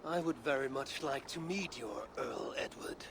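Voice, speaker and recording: Man playing a gravelly, grim Norse warrior. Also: mid-range voice, noisy background, close to an everyday voice. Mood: defiant. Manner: grim, measured, terse. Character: stern, proud Norse Viking warrior of a raven clan. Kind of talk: dramatic video game acting.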